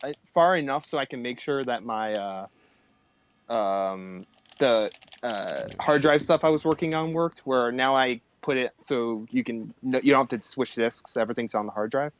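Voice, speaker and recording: A man's voice: medium pitch, normal speed, clear, resonant tone. A man talking in an informal, sweary way in a casual tech and gaming chat. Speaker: programmer guest on a stream. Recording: music playing behind the talk. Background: music.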